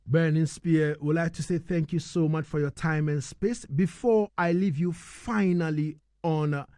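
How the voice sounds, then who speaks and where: Male radio host, high voice, very dry sound, effusive slangy interviewing. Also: steady tone, measured pace, warm tone, dry recording. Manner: effusive, slangy, repeats phrases. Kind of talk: casual, enthusiastic radio interview.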